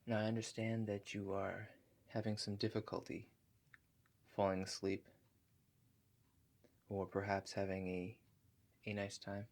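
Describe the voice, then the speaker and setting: A man doing a softly spoken ASMR roleplay as a calm relaxation agent, hushed and halting, with gentle check-in questions. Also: fairly low pitch. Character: calm, awkward house-call relaxation agent. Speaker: ASMR performer.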